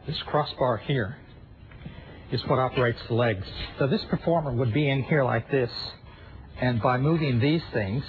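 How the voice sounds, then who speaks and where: A man from a theatre crew explaining his work informally. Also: medium pitch. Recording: dull, noisy workshop recording.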